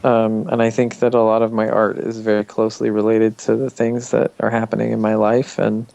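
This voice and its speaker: A man with a nasal voice, speaking as a musician guest in a casual interview, open and reflective, often pausing with ums and restarts. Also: fairly low pitch, monotone, creaky, darkish tone, no room sound, highs cut off. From Canada.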